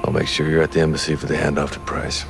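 An actor playing a rugged, guarded military operative in a game, terse and low-key. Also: male voice, monotone, fast talker, gravelly, music in the background. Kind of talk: cinematic game acting.

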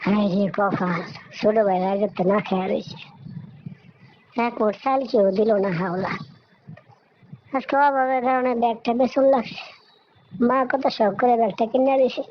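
A woman's voice: a little high, fairly even intonation, moderate pace, long pauses, close to an everyday voice. A muffled recording with some noise carries a woman acting as a poor elder sister in a moral cartoon. She sounds weary, caring and plaintive, lamenting in speech. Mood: sorrowful. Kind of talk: Bengali moral story cartoon dialogue. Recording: dull, noisy lo-fi recording.

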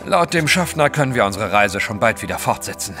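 An actor playing a courteous, formal British gentleman adventurer in an audio drama. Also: lowish voice, very animated, a little fast, soft background music. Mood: polite, composed. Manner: polite, formal.

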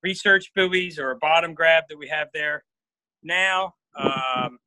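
A man in his forties guiding a live walking tour of a research boat and dock, talking casually with many pauses and fillers, filmed on a handheld phone. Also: a little high, bright, forceful tone, dry recording, poor audio. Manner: casual, hesitant with frequent uh fillers. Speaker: marine field station staff guide. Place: aboard a workboat and on a dock outdoors. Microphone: phone camera held at arm's length.

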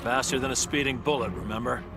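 An actor playing a confident, righteous caped superhero in a video game, firm and self-assured. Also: male voice, mid-range voice, fast, background noise, close to an everyday voice. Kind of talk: video game hero dialogue. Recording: loud music under the voice.

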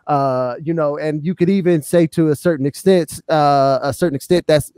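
Man with a mellow, dry voice. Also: a little high, moderate pace, clean tone, no echo. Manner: emphatic, many "you know what I'm saying" fillers. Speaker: podcast co-host. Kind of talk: casual hip hop podcast chat.

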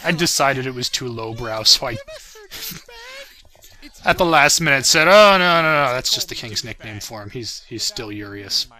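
A man's voice: mid-range voice, lively intonation, normal speed, pushed and bright. A man giving casual, self-deprecating commentary on his own parody series, chuckling and swearing as he goes.

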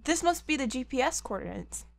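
A woman voicing a clever young male fox sidekick who thinks aloud in quick, technical remarks. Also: high-pitched, big pitch swings, gravelly, heavy background noise, heavily acted. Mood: thoughtful.

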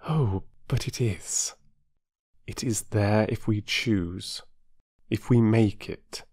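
Reader doing a soft-spoken, earnest, dutiful woman who explains slowly, in a dry close read. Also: expressive, dry recording, natural voice. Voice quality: gentle low voice. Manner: patient, slightly superior explaining. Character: gentle, earnest, dutiful middle-aged woman. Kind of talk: audiobook character voice.

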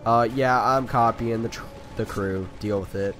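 A man's voice: medium pitch, fairly even intonation, moderate pace, soft background music. A man with a stuffy, nasal voice from being sick, rambling through casual commentary over his gameplay. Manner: rambling, talkative, frequent fillers. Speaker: gaming video creator. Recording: voice over loud gunfire game audio. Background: video game gunfire and sound effects.

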